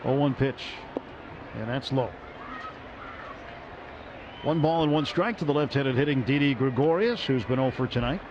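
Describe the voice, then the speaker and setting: A man calling a baseball game as the radio play-by-play announcer from a ballpark booth, with brisk, measured play calling. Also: medium pitch. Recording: muffled radio broadcast with crowd noise.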